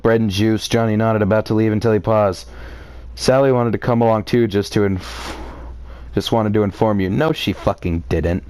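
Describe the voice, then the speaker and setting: Clear-voiced man reading aloud with theatrical outrage. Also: lowish voice, a little mellow, dry recording. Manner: theatrical, exclamatory, sarcastic asides. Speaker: reader reacting to a story. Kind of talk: live read-aloud with outraged commentary.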